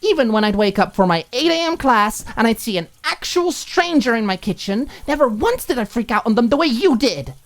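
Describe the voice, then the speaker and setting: A woman's voice: on the high side, sing-song, a little fast, few pauses, voice pushed up. A woman voicing a furious, hurt young roommate in an audiobook, firing off sarcastic, emphatic rhetorical questions. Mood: sarcastic, angry. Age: young adult. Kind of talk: audiobook character acting.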